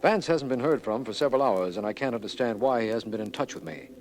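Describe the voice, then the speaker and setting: A man's voice: mid-range voice, quick pace, close to an everyday voice. A man playing a formal, serious district attorney who narrates an old radio mystery. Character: sober, official male district attorney narrating the case. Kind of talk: mid-century radio drama narration. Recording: old radio recording, faint noise.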